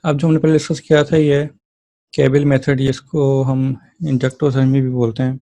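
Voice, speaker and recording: A man's voice: mid-range voice, little pitch movement, normal speed, warm tone, dry recording. A man lecturing as a physiotherapy teacher, explaining things step by step in an informal way and often checking in with listeners.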